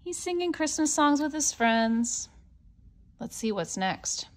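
A woman with a fairly high voice reads a children's picture book aloud at a very slow pace. She phrases things deliberately and expressively for young listeners, posing simple questions and explaining as she goes. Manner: expressive, questioning, with gasps and hushed asides. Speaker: storybook reader for young children.

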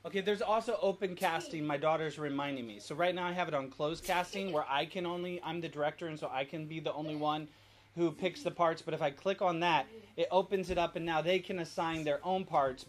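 A man's voice: fairly high pitch, steady tone, a little fast. A middle-aged man, a father casually directing his family and explaining steps as he goes. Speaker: father leading a family activity and app demonstration. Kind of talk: casual instructional family vlog. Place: family home.

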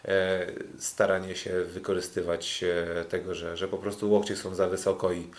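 A man recapping sports as a podcast host in a halting way, often repeating words. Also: low-pitched, level tone. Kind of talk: casual sports recap commentary.

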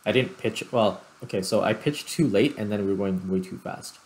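A man giving casual gameplay commentary, thinking aloud in short, unhurried phrases. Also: fairly low pitch, low-quality recording. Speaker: gaming YouTuber, player commentating.

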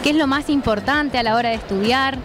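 A woman's voice: sits high, brisk. A young Argentine woman hosting a stream, asking questions in a casual way. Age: young adult. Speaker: stream host. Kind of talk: casual interviewing. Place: large indoor fair hall. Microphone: handheld mic. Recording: background music at busy fair.

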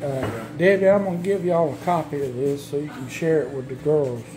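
A man's voice: fairly high pitch, a little fast. A man, chatting in a friendly, complimentary way while filming a dinner at a friend's home. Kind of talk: casual chat. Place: home kitchen, indoors. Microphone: handheld camera held by him. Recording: noisy home camera audio.

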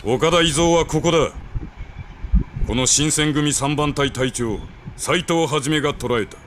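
An actor playing a stern, commanding samurai officer in a video game, gruff, authoritative and coolly menacing. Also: male voice, on the high side, unhurried, a bit bright. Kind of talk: dramatic samurai game cutscene acting. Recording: ambient noise behind the voice.

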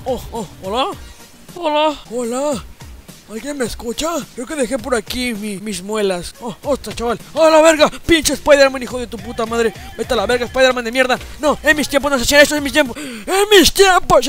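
Man doing a shrill cranky old grandpa gamer. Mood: grumbling. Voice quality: high, strained old-man voice. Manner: grumbling, swearing, yelling at the game. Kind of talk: comedic gameplay commentary.

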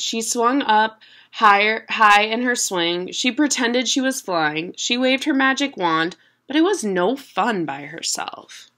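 A young woman reads a picture book aloud in a small room at home. Her voice is fairly high and bright, with plenty of rise and fall as she tells the story expressively. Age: young adult. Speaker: storybook reader.

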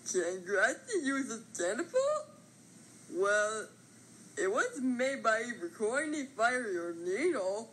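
A woman voicing a small, naive, childlike grass character for a cartoon, cutesy and squeaky with a husky edge. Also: a bit bright, slight background noise, rough recording. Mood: determined. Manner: cutesy, refers to self in third person.

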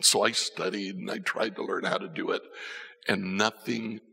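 An older man preaching a sermon from a church stage, telling stories and swinging between soft and emphatic lines. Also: low-pitched, steady tone, moderate pace, creaky, slightly rough. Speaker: pastor. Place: church stage with band instruments. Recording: church hall reverb.

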